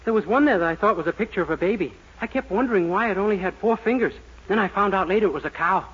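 A man's voice: high voice, racing, a bit bright, breathy edge. An actor playing a naive, cheeky young American man with guileless delivery in a 1940s radio sitcom. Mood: innocent wisecracking. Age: young adult. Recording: very noisy, dull 1940s radio recording.

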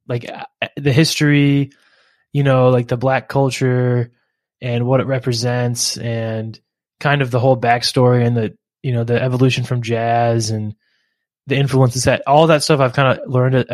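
A man from the Philadelphia area in casual conversation, easygoing and relaxed, tossing in slang like "dude" and "man.". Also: medium pitch, no echo, studio quality.

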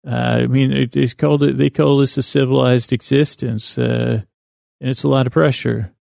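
Creaky-voiced man rambling soothingly. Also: medium pitch, level tone, warm tone, no echo, studio quality. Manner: meandering, halting, self-deprecating, lulling. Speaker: podcast host. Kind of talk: rambling bedtime-story podcast monologue.

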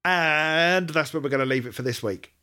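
A man's voice: fairly high pitch, moderate pace, pushed and bright, smooth. A middle-aged man hosting a podcast, chatting casually and hesitantly about music fandom, often rambling and correcting himself. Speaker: podcast host.

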